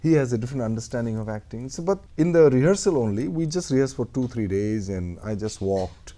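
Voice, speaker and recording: Animated Indian man, mellow voice. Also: medium pitch, a little fast. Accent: Indian English. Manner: thoughtful, animated, acts out little quoted exchanges. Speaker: actor, interview guest. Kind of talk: reflective interview talk. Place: radio studio.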